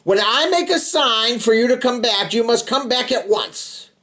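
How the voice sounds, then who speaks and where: A man voicing a stern young fisherman with magic powers in a children's storybook, speaking in a commanding, deliberate way. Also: very high voice, expressive, bright, forceful tone, pitched above the performer's own voice, exaggerated voice.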